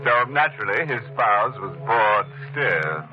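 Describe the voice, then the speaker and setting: An American man playing a gleefully macabre horror host in a theatrical 1940s radio style, with a mock-genial, drawn-out delivery. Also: lowish voice, big pitch swings, a little hiss or hum. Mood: ghoulish glee. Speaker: host and narrator. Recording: muffled vintage 1940s radio broadcast.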